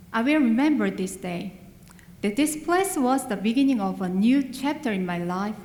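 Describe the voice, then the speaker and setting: A woman's voice: mid-range voice, a little mellow, slight background noise. A woman, an adult English learner whose first language is not English, giving an earnest graduation speech with small grammar slips. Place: large hall. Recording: echoey hall with long reverb.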